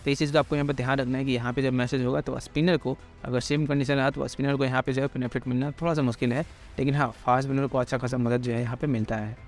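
A man giving a quick, casual cricket pitch analysis, talking straight to viewers with lots of filler phrases. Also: level tone, faint music behind. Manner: rapid, heavy filler phrases, addresses viewers directly. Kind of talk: fast casual explainer over slides.

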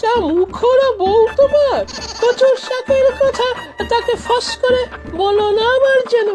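A squeaky, boastful old uncle. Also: unhurried, a little mellow. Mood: boastful, teasing. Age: older. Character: boastful, fussy old village uncle in a cartoon. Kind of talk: animated cartoon dialogue. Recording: music behind the voice.

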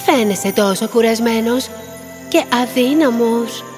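A woman's voice: a little high, darkish tone, close to an everyday voice. Tender, sorrowful mare in long reverb voiced by woman. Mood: tender, mournful. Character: gentle, world-weary chestnut mare, a loving friend. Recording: long cavernous reverb, faint music.

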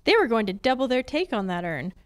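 A woman's voice: on the high side, big pitch swings, fast, clean tone. A woman playing a confident, wry female private detective in an old-time radio drama, reading from a script on stage. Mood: confident, explaining. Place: on a stage, live performance. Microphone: handheld-style microphone on a stand.

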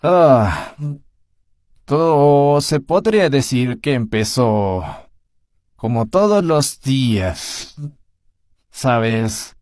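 A man's voice: mid-range voice, expressive, very slow, long pauses, darkish tone, no room sound, clean studio sound. A man playing a weary, annoyed older puppet brother, narrating in character for a fandub with a grudging, sighing manner.

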